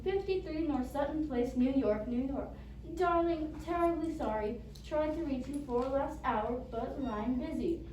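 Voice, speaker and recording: A woman's voice: high voice, dark tone. A woman acting a polite, matter-of-fact telephone clerk in an amateur radio play, with formal, courteous delivery. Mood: businesslike. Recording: echoey, distant microphone with faint noise.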